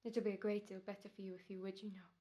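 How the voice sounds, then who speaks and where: A woman's voice: medium pitch, flat delivery, racing, dark tone, natural voice. A woman playing a formal, courteous and menacing doctor in an old-time radio drama. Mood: cold threatening. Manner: courteous, precise, ominous. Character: smooth, menacing, formal doctor turned murderer in radio rehearsal.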